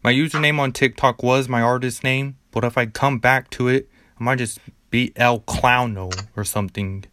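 A man's voice: medium pitch, fairly even intonation, moderate pace, fairly bright tone, a little hiss or hum. A man chatting casually as a guest, hesitant and searching for words. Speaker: podcast guest. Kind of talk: casual chat.

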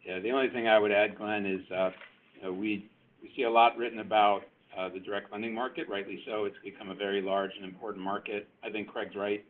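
Exec guy on investor call, flat delivery, businesslike, phone sound w a bit of noise. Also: fairly low pitch, fast, phone-quality sound. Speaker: company executive. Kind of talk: earnings call answer.

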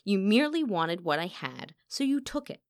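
A woman's voice: medium pitch, very animated, normal speed, no echo, clean studio sound. Woman acting a furious, imperious opera diva. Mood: cold accusation. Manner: accusing, haughty, cutting. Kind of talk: audiobook character acting.

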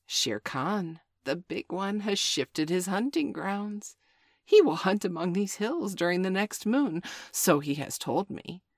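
A woman voicing a small, fawning and spiteful male jackal who drawls his flattery in breathy whispers. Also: medium pitch, no echo, clean studio sound, pitched above the performer's own voice. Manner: wheedling, breathy whispers, drawn-out words.

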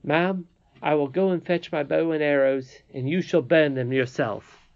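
A man voicing an earnest, contrite and excitable boy in a storybook, speaking with polite emphasis. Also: fairly high pitch, a little slow, vocal fry, no room sound, highs cut off, pitched above the performer's own voice. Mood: eager. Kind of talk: storybook character reading.

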